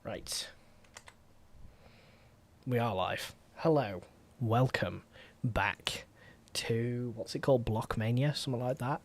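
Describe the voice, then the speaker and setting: A man's voice: mid-range voice, slow. A man with a slightly stuffy voice chatting casually as a live game streamer, rambling with wry asides and mild swearing. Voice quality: stuffy, congested. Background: music.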